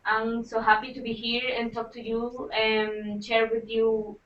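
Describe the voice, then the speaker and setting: A woman's voice: a little high, level tone, measured pace, a little hiss or hum. An eighteen-year-old woman with a Salvadoran accent, a native Spanish speaker, introducing herself informally on a video call. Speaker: youth ambassador panelist. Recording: dull, roomy, distant video call sound.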